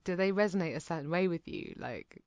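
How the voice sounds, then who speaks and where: A woman with a low voice, interviewing in a casual, thoughtful way as she talks her ideas through at length. The sound is close and dry. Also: lively intonation, brisk, a little mellow, dry recording, dull audio.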